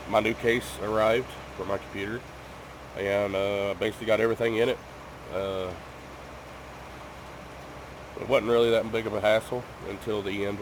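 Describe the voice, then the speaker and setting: A man's voice: fairly low pitch, noisy background. A man who is sick with a cough, chatting casually to his viewers at home in a rambling way, full of ums and restarts. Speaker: gaming YouTuber.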